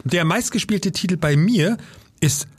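A man's voice: high-pitched, expressive, measured pace. A man hosting a podcast, speaking in a conversational moderating style. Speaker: podcast host.

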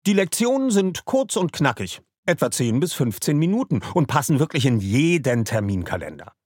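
Upbeat husky host guy doing an ad read, bouncy and animated. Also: fairly high pitch, normal speed, no room sound. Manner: upbeat, salesy. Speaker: podcast host. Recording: dry close mic.

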